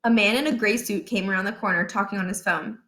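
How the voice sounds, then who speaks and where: A woman narrating a children's book aloud in an expressive storytelling style. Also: mid-range voice, quick pace.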